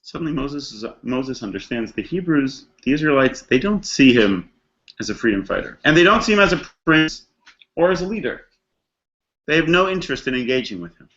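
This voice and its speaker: A man's voice: medium pitch, a bit bright, dull audio. A man giving a conversational scripture lesson as a rabbi, telling the story reflectively and posing rhetorical questions. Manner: dramatizes characters' thoughts in casual modern slang. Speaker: rabbi teaching a text study class.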